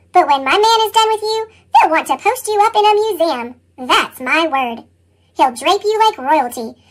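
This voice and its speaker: A woman voicing a perky little chipmunk who reads a picture book aloud expressively, with a sped-up, pitch-shifted effect. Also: fairly bright tone, exaggerated voice. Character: perky little cartoon chipmunk telling a story. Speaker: storybook reader.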